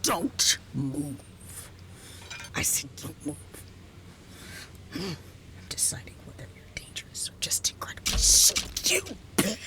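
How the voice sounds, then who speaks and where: A woman's voice: sits low, huge pitch swings, exaggerated voice. Woman acting a whispery, imperious mad scientist woman. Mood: wary, commanding. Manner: halting, repetitive, sighing, imperious. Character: haughty, flustered reclusive female mad scientist. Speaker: ASMR roleplay performer. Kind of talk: ASMR roleplay, close and partly whispered.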